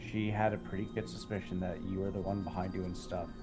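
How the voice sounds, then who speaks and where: A man in an improvised roleplay conversation, speaking in a measured, explanatory, probing way like a counselor. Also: low-pitched, level tone, music in the background. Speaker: roleplay game player.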